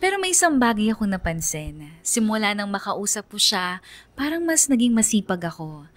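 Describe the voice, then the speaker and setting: A woman's voice: mid-range voice, sing-song, moderate pace, soft background music, lightly acted. A woman narrating in the first person as a reflective young woman in love, confiding as in a radio drama letter. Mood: reflective, hopeful.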